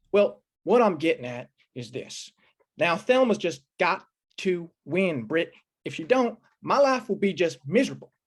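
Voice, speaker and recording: Man playing a fretful henpecked western husband. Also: a little high. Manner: hesitant, earnest, complaining. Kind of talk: old-time western radio drama acting.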